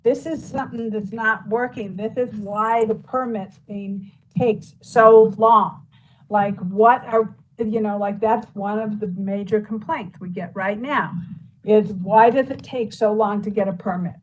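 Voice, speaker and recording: A woman's voice: mid-range voice, steady tone, a little slow, muffled recording, slight background noise. A woman county commissioner speaking at a public meeting, rambling and filling her talk with "you know" and "like". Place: meeting room.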